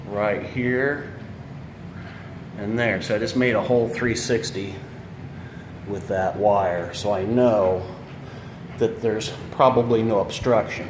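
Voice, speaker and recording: Low-voiced man in an echoey, noisy room. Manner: unscripted, explaining step by step. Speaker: home audio installer presenting a how-to video. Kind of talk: casual explanatory walkthrough. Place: indoors, room of a house being remodeled. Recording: echoey room, clear background noise.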